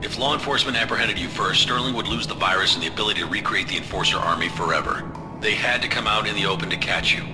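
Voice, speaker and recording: A man playing an unapologetic spy agency chief in an audio drama, measured, controlled and authoritative. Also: on the low side, music in the background, lightly acted. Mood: matter-of-fact.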